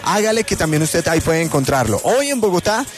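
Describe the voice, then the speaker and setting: Man, colombian accent, radio host, energetic plugs, slightly high voice, faint music bed. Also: fairly quick. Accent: Colombian Spanish. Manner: energetic, promotional, colloquial. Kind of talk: upbeat radio show patter.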